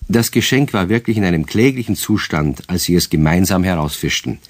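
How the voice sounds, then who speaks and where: A man's voice: deep voice, expressive, fairly quick, dry recording. A man narrating a scripted radio play as its storyteller.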